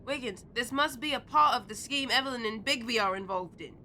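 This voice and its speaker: Young Victorian child sleuth, sharp, questioning, very bright tone, faint rumbling noise. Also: female voice, brisk. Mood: inquisitive. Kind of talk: audio drama acting.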